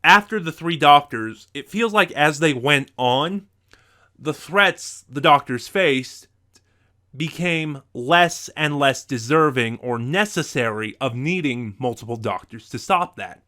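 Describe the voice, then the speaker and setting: A man's voice: on the high side, animated, slow pace, pushed and bright. Man giving a review commentary. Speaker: reviewer, video host. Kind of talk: semi-scripted review commentary to viewers.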